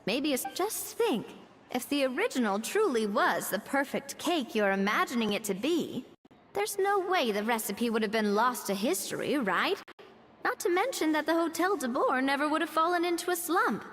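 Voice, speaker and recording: A bright, encouraging young companion. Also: female voice, high-pitched, big pitch swings, normal speed, long reverb tail. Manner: reassuring, lightly teasing.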